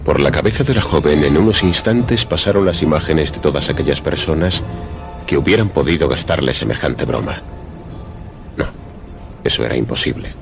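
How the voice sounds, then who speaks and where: A man narrating a suspense radio drama in a measured, literary storytelling manner. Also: very deep voice, lively intonation, creaky. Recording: prominent music behind the voice. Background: music.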